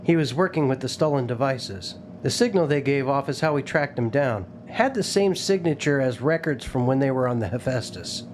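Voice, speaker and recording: A man's voice: mid-range voice, lively intonation, moderate pace, hardly any pauses, a little mellow, a little hiss or hum, lightly acted. A man playing a matter-of-fact military officer in a sci-fi audio drama, blunt and plain in delivery.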